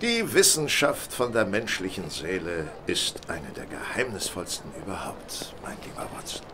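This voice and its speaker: An actor playing a shrewd, self-assured gentleman detective in an audio drama, polite and measured in manner. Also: sits low, wildly swooping pitch, noisy background. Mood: knowing, musing. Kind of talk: German audio drama acting.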